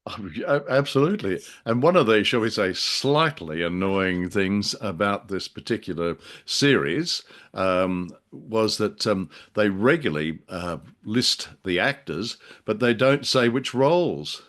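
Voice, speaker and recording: A man co-hosting a casual discussion, speaking in a wordy, halting and wry way. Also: fairly low pitch, animated, fairly bright tone. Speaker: podcast co-host.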